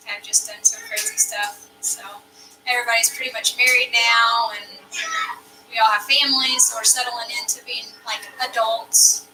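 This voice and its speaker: 30ish woman from arkansas rambling on a livestream, high bright voice, echoey room, kinda far off. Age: about 30. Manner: chatty, rambling, lots of fillers. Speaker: farm channel host reading live chat. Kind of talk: casual live-stream chat.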